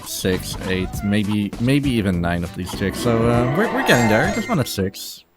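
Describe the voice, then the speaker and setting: Guy talking thru his speedrun, lowish voice, dry and halting, game music behind. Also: big pitch swings. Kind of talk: casual live speedrun commentary.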